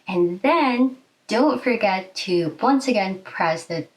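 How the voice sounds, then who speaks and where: A woman's voice: medium pitch, lively intonation, slow, far from the mic, low-quality recording. A young adult woman giving a casual step-by-step explanation to camera in a small indoor room. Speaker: video host and presenter. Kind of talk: informal instructional explainer to camera.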